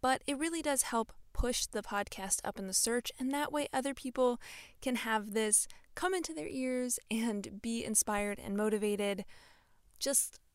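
A woman's voice: fairly high pitch, a little slow, clean tone, no room sound. Young female podcast host, chatty and upbeat, dry close-miked sound, casual solo talk. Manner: bubbly and chatty, many fillers and laughs.

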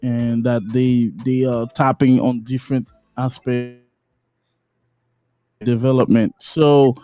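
A man's voice: mid-range voice, little pitch movement, slow, mellow, soft background music. A man hosts a podcast, reading a sponsor spot and asking his guest questions in an upbeat, encouraging way. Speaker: podcast host. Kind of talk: casual podcast interview, sponsor read.

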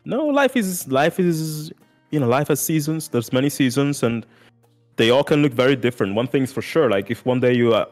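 A man's voice: medium pitch, lively intonation, moderate pace, darkish tone, faint music behind. Earnest, emphatic man chatting. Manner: earnest, emphatic, says "dude" and "like" a lot. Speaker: music content creator guest. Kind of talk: casual podcast chat.

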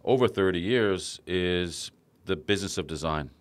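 A man hosting a podcast interview, talking casually with plenty of fillers and pauses, a little jet lagged. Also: deep voice. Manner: relaxed, hesitant with fillers. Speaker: podcast host. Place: in Sydney.

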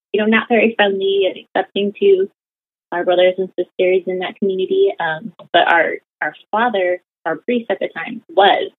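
Low-voiced young woman on a tinny line. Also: dry recording. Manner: chatty, many fillers like "like" and "you know". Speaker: interviewee. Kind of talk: casual conversational storytelling.

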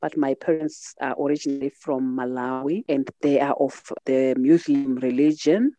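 A woman's voice: deep voice, dark tone, no echo. A middle-aged woman with a Zimbabwean accent, telling her personal story reflectively as a podcast guest.

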